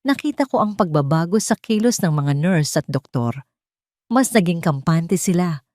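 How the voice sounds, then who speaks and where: A woman with a Filipino accent narrating a dramatic first-person story in an expressive, scripted storytelling manner. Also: on the low side, moderate pace, no echo, clean studio sound.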